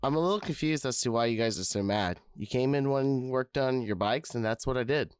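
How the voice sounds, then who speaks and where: A man plays an easygoing, wiry motorcycle mechanic in an audio drama. He talks politely in a mid-pitched voice, recorded close and dry. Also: a little fast, smooth, no room sound, natural voice. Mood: placating. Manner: mellow, placating. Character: wiry, laid-back, polite male bike mechanic.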